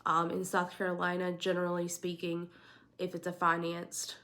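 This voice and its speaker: A woman with a fairly low voice, slightly hoarse and raspy from being sick, talks straight to her viewers. She hosts casually, handing out practical advice in a chatty way and leaning on emphatic words. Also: monotone, moderate pace, pushed and bright. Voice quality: a little raspy. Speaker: realtor and channel host. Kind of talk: casual talk to camera, advice. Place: at home, indoors.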